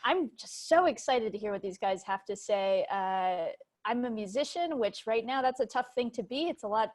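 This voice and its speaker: A woman's voice: fairly high pitch, animated, moderate pace. A woman briefly introducing herself as the note-taking assistant, friendly, with a few fillers. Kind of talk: casual self-introduction.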